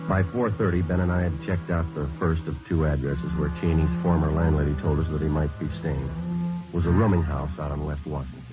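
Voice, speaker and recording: An actor playing a terse police sergeant who narrates his case in a deadpan, clipped way for a radio crime drama. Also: very deep voice, a little fast. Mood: matter-of-fact. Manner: deadpan, matter-of-fact, clipped. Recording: muffled old radio recording with music.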